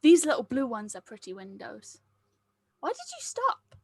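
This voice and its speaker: Woman as a curious, blunt small child, high-pitched. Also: huge pitch swings, moderate pace, gravelly, darkish tone, husky, no room sound, studio quality, full character voice. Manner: earnest, simple, direct. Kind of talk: acted script table read.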